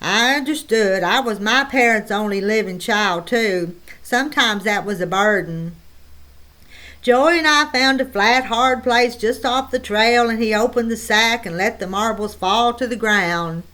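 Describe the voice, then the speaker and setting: A woman narrating a children's novel in first person, with plain, gentle reading. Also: on the high side, unhurried. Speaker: audiobook narrator.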